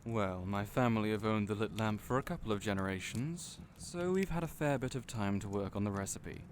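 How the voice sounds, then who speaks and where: A man's voice: on the low side, brisk, natural voice. A man playing a friendly, teasing young innkeeper in a fantasy audio roleplay, chatty and playful, speaking right to the listener. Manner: chatty, playful, genial storyteller. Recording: noisy storm and fire ambience behind. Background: storm and crackling fire ambience.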